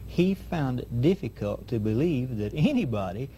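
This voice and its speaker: A man hosting an interview, asking questions and telling an anecdote in a measured, deliberate way. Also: medium pitch, big pitch swings, warm tone, slight background noise, poor audio. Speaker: host interviewer.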